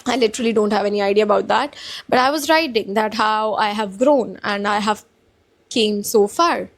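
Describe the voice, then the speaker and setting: A young woman with an Indian accent, vlogging in a calm, polite, soft-spoken way and mixing Hindi with English. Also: mid-range voice, moderate pace. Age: young adult. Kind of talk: casual reflective vlog talk.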